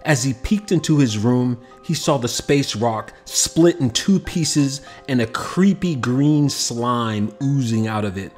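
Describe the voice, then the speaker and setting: A man reading a children's picture book aloud as an expressive scripted narration, with music behind him. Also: mid-range voice, slow, few pauses. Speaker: storybook narrator. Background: background music.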